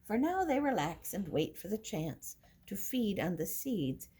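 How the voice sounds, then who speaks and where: Older woman reading aloud, lively pitch. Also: unhurried, slightly rough. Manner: simple explanatory asides, counting aloud. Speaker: teacher reading to young children. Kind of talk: children's picture book read-aloud with explanations. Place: indoor classroom with wooden furniture. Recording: noisy room recording with clicks.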